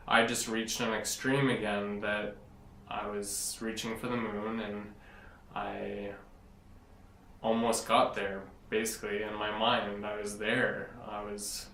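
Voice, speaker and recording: A man in his late twenties, answering interview questions in an earnest, rambling way, often pausing to search for words. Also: fairly low pitch, sharp tone. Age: 20s. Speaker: interviewee, blogger. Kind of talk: casual interview. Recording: echoey room from a distance, faint noise.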